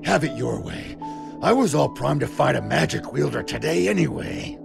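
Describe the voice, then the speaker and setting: Gruff fighter, heavy gravel, wildly swinging pitch, curt, defiant, animated game cutscene, music behind. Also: male voice, moderate pace. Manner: curt, irreverent, combative. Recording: dramatic music under the voice.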